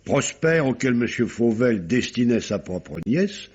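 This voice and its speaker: A husky-voiced, courteous male magistrate of the nineteenth century. He speaks formally and with dramatic emphasis, fair-minded in temper, at a middling pitch. It sounds like a muffled old radio play. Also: very animated, measured pace, darkish tone. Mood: grave, earnest. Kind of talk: classic French radio drama acting. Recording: dull, lo-fi old radio recording.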